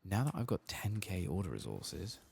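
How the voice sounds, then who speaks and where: A man speaking softly and often whispering in a hushed, murmuring way as he hosts an ASMR game playthrough. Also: deep voice, sing-song, normal speed, vocal fry, slight background noise. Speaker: host of a gameplay ASMR series. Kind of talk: soft-spoken and whispered ASMR game playthrough, reading quest text aloud.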